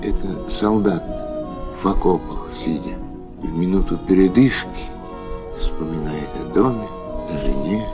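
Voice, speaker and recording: A man's voice: sits low, unhurried, muffled recording, over music. A man delivers solemn, dramatic scripted documentary narration for children, with emphatic rhetorical phrasing.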